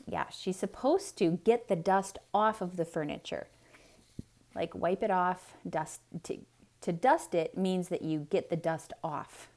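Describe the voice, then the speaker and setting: A woman storyteller reading aloud theatrically for children, pausing to explain things simply and ask questions. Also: fairly low pitch, a little mellow. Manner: explains simply, chatty asides. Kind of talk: theatrical read-aloud, asking young listeners questions.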